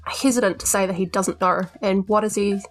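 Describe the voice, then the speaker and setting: A woman's voice: medium pitch, steady tone, a little fast, faint music behind. A woman, chatting matter-of-factly as a player in a tabletop game. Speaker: player in a tabletop RPG podcast. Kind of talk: casual chat.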